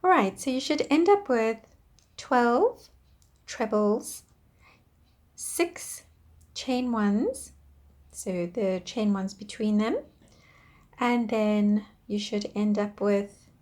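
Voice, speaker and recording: Slow, soft-spoken woman teaching crochet. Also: a little high. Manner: counts stitches aloud, soft and patient. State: losing her voice, clearing throat. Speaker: crochet instructor. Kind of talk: step-by-step craft tutorial.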